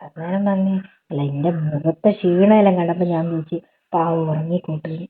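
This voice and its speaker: A woman with a northern Kerala accent chatting casually and intimately on a phone call, in a husky voice. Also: on the low side, steady tone, fairly quick. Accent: Kerala Malayalam, northern. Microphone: telephone call.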